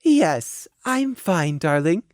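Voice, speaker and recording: A woman voicing a refined unicorn mare in a storybook, soft, polite and hesitant. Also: fairly low pitch, big pitch swings, slow pace, no room sound, clean studio sound, pitched above the performer's own voice. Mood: forced composure. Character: refined unicorn mare mayor, kindly masking despair.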